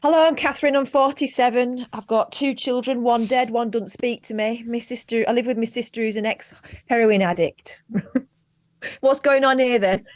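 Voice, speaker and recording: A young woman co-hosting a casual live stream, chatty and quick to laugh. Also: fairly high pitch, dry recording. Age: young adult. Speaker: co-host.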